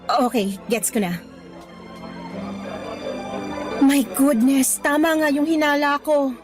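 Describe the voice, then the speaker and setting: An actress plays a young female schoolteacher with a high, expressive voice. She is earnest and outspoken, dropping English phrases into her lines as in a romantic radio drama. Faint music plays underneath. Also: normal speed, natural voice. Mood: serious, suspicious. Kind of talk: Tagalog radio drama acting. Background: faint background music.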